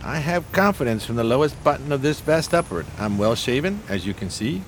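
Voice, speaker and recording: An actor playing a pompous, genteel homeless schemer in a 1920s radio play, speaking in flowery, courteous phrases. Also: male voice, medium pitch, big pitch swings, a little fast, slight background noise, lightly acted. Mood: confident, scheming.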